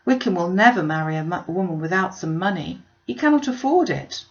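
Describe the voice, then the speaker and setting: An earnest, sharp-minded young gentlewoman from a storybook classic voiced by a woman reader. She argues emphatically with lively rises and falls. The recording is slightly muffled and lo-fi. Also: on the low side, fairly quick. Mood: doubtful, insistent.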